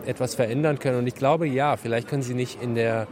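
A man's voice: mid-range voice, steady tone, fast talker, darkish tone. A man answering an interview question in a reflective way. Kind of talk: interview statement. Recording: crowd chatter behind.

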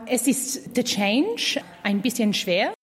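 A woman's voice: a little high, big pitch swings, slow pace, husky. Young woman from Australia, reverberant hall. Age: young adult. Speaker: voice student.

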